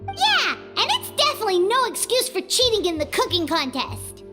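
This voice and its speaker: A woman voicing a small, excitable childlike fairy companion with a squeaky voice in an anime game. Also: moderate pace, faint music behind, heavily acted. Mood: curious, indignant.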